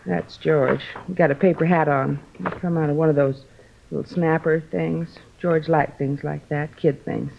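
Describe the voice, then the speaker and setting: Anxious apologetic sister in a 50s radio drama, crackly creaky voice, rambles a bit, muffled sound. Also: female voice, low voice, steady tone, moderate pace. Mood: regretful. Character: worried, guilt-ridden sister of a troubled man. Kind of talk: 1950s radio drama acting. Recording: dull, muffled vintage radio recording.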